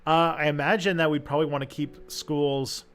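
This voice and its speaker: Chatty man with a higher voice. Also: steady tone, moderate pace, fairly bright tone. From Canada. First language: English. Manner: chatty, hesitant, many fillers. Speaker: host. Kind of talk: casual livestream chat.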